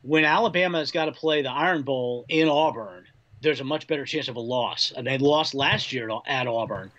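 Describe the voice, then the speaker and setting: An American man, a sportswriter guest, talking through college football analysis in a measured, conversational way. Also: mid-range voice, a bit bright, lo-fi sound. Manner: measured, reasoning through points.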